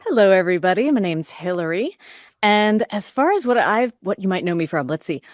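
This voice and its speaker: A woman's voice: medium pitch, expressive, brisk, no room sound, clean studio sound. A woman voice actor giving a casual introduction of herself as a guest on a panel.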